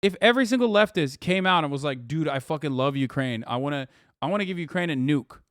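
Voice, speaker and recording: A man's voice: a little high, animated, fast talker, fairly bright tone, dry recording, clean studio sound. A man in his thirties hosting a live stream, reacting to an article with profane, sarcastic, heated rants. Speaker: streamer host.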